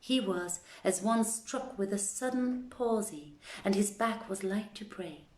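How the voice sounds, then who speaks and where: A woman reading a story aloud as a presenter, with a measured, deliberate and dramatic delivery. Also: medium pitch, a little mellow, reverberant. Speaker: reader presenting a literary reading series.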